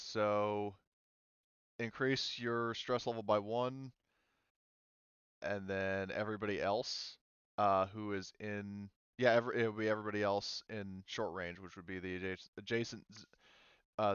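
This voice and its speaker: A man running a tabletop role-playing game as game master, halting and thinking aloud as he talks. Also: lowish voice, fairly even intonation, clean tone, dry recording, dull audio.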